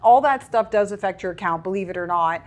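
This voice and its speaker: A young woman speaks English, her voice sitting at a middle pitch. She chats freely and acts out her own past remarks with a lift in her voice. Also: quick pace. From Portugal. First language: Portuguese. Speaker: content creator guest. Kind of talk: casual interview chat.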